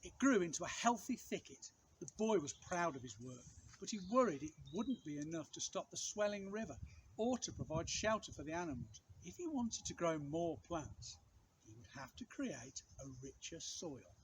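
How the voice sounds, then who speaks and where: Male storyteller for children's picture book, high-pitched, highly animated, dramatic pauses, some background ambience. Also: a little hiss or hum, rough recording. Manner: measured, dramatic phrasing with pauses for effect. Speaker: storybook reader, outdoor teacher. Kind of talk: expressive read-aloud of a children's picture book.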